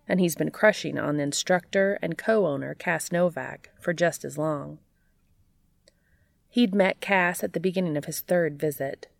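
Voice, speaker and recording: A woman's voice: low-pitched, gravelly, a little mellow, no echo. A woman hosting a podcast and reading fan fiction aloud as scripted, audiobook-style narration. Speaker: podcast host and reader.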